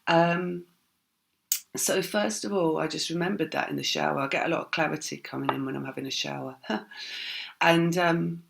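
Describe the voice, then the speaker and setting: A woman giving a casual spiritual tarot reading, her voice croaky, talking in a rambling, reflective way with many fillers. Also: sits low, moderate pace, background noise. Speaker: tarot reader.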